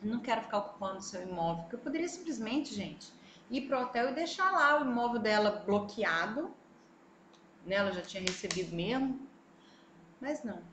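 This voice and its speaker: A woman from Brazil with a Brazilian Portuguese accent, a travel vlogger telling her story in a casual, animated way and talking straight to her viewers. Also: fairly high pitch, fairly quick, slight background noise. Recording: echoey room, faint ambience.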